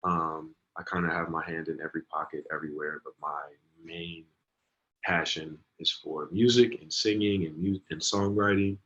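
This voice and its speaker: Very deep-voiced young man, dull sound. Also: steady tone, moderate pace, fairly bright tone. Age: 20s. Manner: laid-back, many ums and likes. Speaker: guest, singer. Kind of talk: casual interview.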